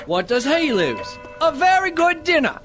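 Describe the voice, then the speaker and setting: An actor playing a good-natured, forgiving young gentleman in an audio drama, cheery and animated. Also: very high-pitched, moderate pace, soft background music, exaggerated voice. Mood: amused, forgiving.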